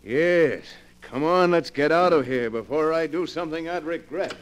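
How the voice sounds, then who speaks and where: An actor playing a blunt, easily appalled police inspector with an incredulous, emphatic manner in 1940s radio mystery style. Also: male voice, fairly high pitch, normal speed, slight background noise, natural voice. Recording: vintage 1940s radio broadcast.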